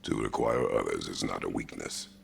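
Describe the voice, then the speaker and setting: Extremely deep, stern warrior. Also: male voice, moderate pace, vocal fry, a little hiss or hum, full character voice. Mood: stern, blunt. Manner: terse, blunt, solemn. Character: stern, stoic, hulking warrior of few words. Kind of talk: video game character dialogue.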